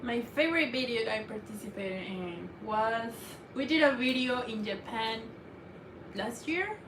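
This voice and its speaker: A woman's voice: fairly high pitch, animated, measured pace. A young woman with a non-native accent, chatting shyly and laughing often as co-host of a livestream. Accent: non-native English accent. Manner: laughs often, shy and brief. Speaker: co-host, partner of the host. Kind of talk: casual livestream chat. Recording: echoey room with noticeable noise.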